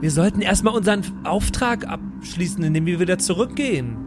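A man's voice: sits high, expressive, brisk, over music. A man chatting casually as a player in an improvised role-playing audio drama. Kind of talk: casual improvised in-character chat.

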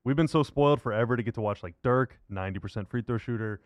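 Very fast-talking man, casual podcast host. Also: medium pitch, dry recording, highs cut off. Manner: informal, often says "like". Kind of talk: casual sports chat.

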